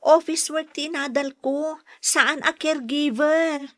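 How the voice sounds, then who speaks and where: A high female voice playing a younger sister in a radio drama. She is teasing and insistent, coaxing her stubborn brother along. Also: fairly even intonation, unhurried, fairly bright tone. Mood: pleading, exasperated. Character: patient's younger sister, bright, teasing, insistent office worker. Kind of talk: Filipino radio drama acting.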